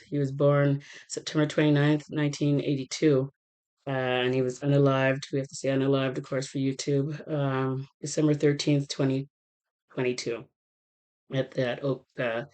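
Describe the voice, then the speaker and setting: Woman, tarot reader, getting over a cold, casual vlog talk, halting with fillers, smooth clear voice. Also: low-pitched, a little mellow. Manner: halting, with many fillers and restarts.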